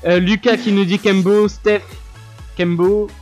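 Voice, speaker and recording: Playful high-voiced man reading comments. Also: little pitch movement, slow pace, darkish tone, soft background music. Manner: laughing, playful, reads viewer comments aloud. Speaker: fan radio host. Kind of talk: casual live-stream chat reading comments.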